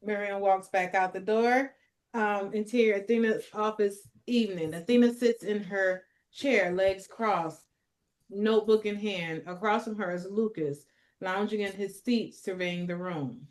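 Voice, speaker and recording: A woman's voice: mid-range voice, a little slow. A woman narrating scripted stage directions at a table read.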